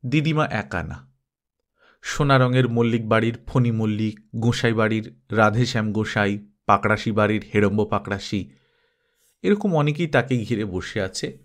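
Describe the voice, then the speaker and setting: A man reading a story aloud as an expressive scripted narration. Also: on the low side, normal speed.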